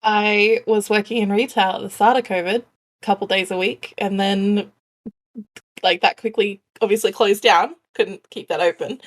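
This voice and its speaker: A woman's voice: medium pitch, fairly even intonation, normal speed. A young woman co-hosting a podcast, chatting casually with dry, understated quips. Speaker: podcast co-host. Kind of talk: casual chat.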